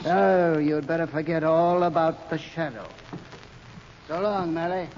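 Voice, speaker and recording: Man as a high-voiced, callous crooked lawyer. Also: measured pace, lightly acted. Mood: cold, scornful. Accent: American. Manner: curt, dismissive. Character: callous, crooked big-shot lawyer, cynical and self-assured. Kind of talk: 1930s radio acting. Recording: muffled, noisy 1930s radio recording.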